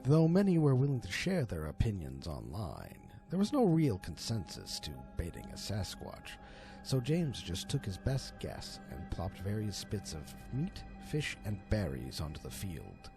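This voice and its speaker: A man with a low, creaky voice narrates a horror tale. His delivery is theatrical and swoops widely in pitch, breaking for dramatic pauses. Music plays clearly behind him. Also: wildly swooping pitch. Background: music under the voice.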